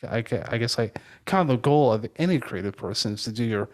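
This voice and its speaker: Guy podcast host, medium pitch, kinda hesitant and informal, asking interview questions. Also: expressive, fast, a little mellow. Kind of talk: casual conversational interview.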